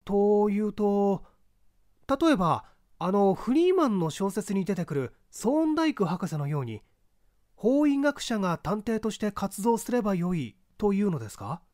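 Audiobook junior questioner, man acting: courteous, inquisitive, raised voice with animated melody. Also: high voice, normal speed, no echo, clean studio sound, pitched above the performer's own voice. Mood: curious, questioning. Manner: polite, questioning. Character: inquiring, deferential junior listener questioning a professor. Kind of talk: dramatic audiobook reading of mystery fiction.

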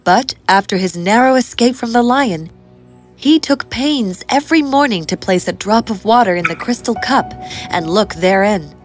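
A woman's voice: mid-range voice, expressive, normal speed, faint music behind. A woman reading a scripted fairy tale as its narrator.

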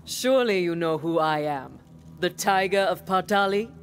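A woman's voice: fairly low pitch, moderate pace, soft background music, natural voice. Self-assured, proud warrior woman played by an actress. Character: proud, confident warrior woman, crime-fighting hero. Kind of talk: video game character acting.

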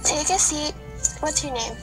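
A woman's voice: sits high, expressive, a little slow, music in the background. A woman playing a caring grown-up cookie lady in a children's radio play. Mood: kindly.

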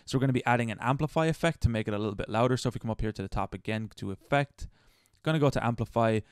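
Fast-talking man hosting a tutorial. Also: medium pitch, smooth, no room sound, studio quality. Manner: informal, chatty. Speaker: tutorial host. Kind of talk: casual step-by-step software tutorial.

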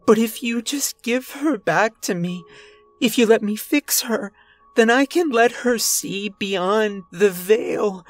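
A performer voicing a deranged, desperate and violent man in a dramatic horror story, agitated and hoarse. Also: slow, soft background music, lightly acted. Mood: fervent, pleading. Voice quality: hoarse shouting. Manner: agitated, booming, pleading.

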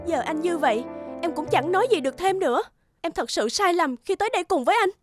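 A woman voicing a gentle, patient young stepmother in a cartoon, soft and earnest. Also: very high-pitched, animated, quick pace. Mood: flustered, hurt. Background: background music.

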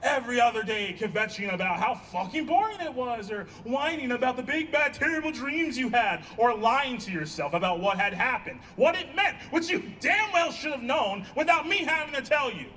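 High-pitched, exasperated man acting on stage. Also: expressive, moderate pace, hardly any pauses, exaggerated voice. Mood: bitter, angry. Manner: emphatic, sarcastic, sharp-tongued. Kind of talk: staged play reading. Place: small outdoor stage by a block wall. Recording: distant outdoor stage pickup, faint ambient noise.

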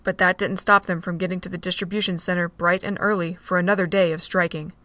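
A woman narrating an amateur audio drama in a steady, plain reading. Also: on the low side, quick pace, dry recording. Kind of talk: storybook narration.

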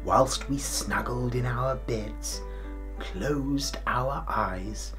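A middle-aged man playing a kindly, jolly, forgetful old grandad king, telling a children's story in an expressive, theatrical way. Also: mid-range voice, drawn-out words, music in the background. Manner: theatrical, expressive, with hammed-up hesitations and chuckles.

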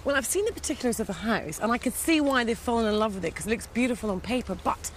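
A woman's voice: fairly high pitch, animated, quick pace, over music. A woman in her thirties presenting a home-moving show, chatty and teasing with the family, recorded on a lapel mic in and around a house. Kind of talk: lifestyle TV presenting.

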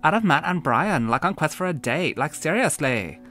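A man's voice: fairly high pitch, very animated, normal speed, pushed and bright, soft background music, pitched above the performer's own voice. A man voicing a catty, mocking teenage schoolgirl who drawls and stresses her words. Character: catty mean-girl teen schoolgirl.

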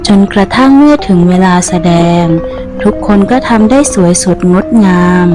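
A woman's voice: medium pitch, lively intonation, normal speed, over music. A woman reading a scripted ghost story as its narrator.

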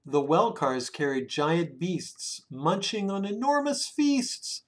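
A man reads a children's picture book aloud expressively, drawing out words and hushing the ends of phrases. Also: on the high side, big pitch swings. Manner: dramatic, with drawn-out words and hushed phrase endings. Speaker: children's story reader. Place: small room indoors at home.

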